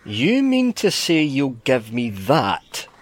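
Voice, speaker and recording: A man voicing a crusty, scheming devil who bargains gruffly and colloquially in a sea tale. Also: medium pitch, wildly swooping pitch, slow, heavily acted. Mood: incredulous. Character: the devil, male, crusty but easily delighted schemer. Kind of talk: storybook character voice in sea yarn.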